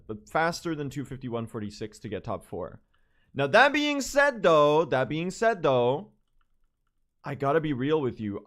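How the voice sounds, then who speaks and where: Hoarse young man chatting to his stream. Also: on the high side. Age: young adult. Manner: excitable, rambling, addresses chat directly. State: hoarse, worn-out voice. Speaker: speedrun streamer. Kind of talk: casual livestream chat to viewers.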